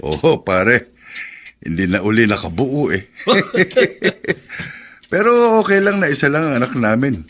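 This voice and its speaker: A man's voice: mid-range voice, sing-song, slow, a bit bright, slight background noise. A man playing a hardworking, earnest middle-aged father and mechanic in a radio drama. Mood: good-natured. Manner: earnest, good-natured.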